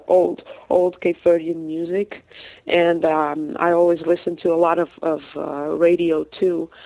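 A woman's voice: deep voice, gravelly, warm tone, dry recording. A woman raised in Portugal, a singer, speaking hesitantly with many fillers in a phone interview. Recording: tinny phone line.

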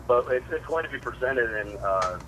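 A man's voice: mid-range voice, lively intonation, normal speed, background noise. A man, a musician guest, talking casually in an interview with many fillers and restarts.

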